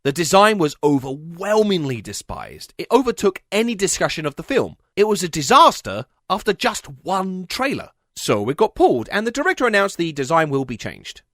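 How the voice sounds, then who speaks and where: A man's voice: high voice, very animated, moderate pace, few pauses, a bit bright, dry recording, studio quality. A man narrating a scripted comedic video essay as its host, with a sardonic, emphatic delivery.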